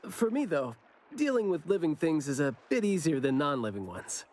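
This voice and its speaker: An actor playing a youthful, easygoing, inquisitive investigator in an anime game, talking casually and expressively. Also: male voice, on the high side, very animated, moderate pace, darkish tone. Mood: curious, casual. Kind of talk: conversational anime game dialogue.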